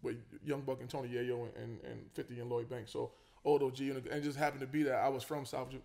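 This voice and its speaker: A man, a basketball coach telling his story as a guest in a casual podcast chat, relaxed and full of fillers and restarts. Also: mid-range voice, fast talker, creaky. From Queens, New York.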